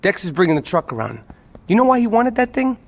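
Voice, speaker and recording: A skeptical gang member in a video game. His voice sits fairly high, laconic and casual, with long reverb trailing it. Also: big pitch swings, quick pace, a little mellow, exaggerated voice. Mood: dry, casual.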